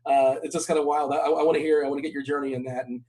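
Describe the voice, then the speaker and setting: Upbeat quick-talking male host. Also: on the high side, steady tone, mellow. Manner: upbeat, playful questions. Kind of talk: casual interview chat.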